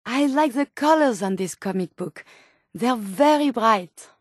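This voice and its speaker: A woman's voice: on the high side, animated, measured pace, no echo, clean studio sound. An actress voicing a polite, earnest, curious female medical robot companion in a video game, with formal, precise, quaint phrasing.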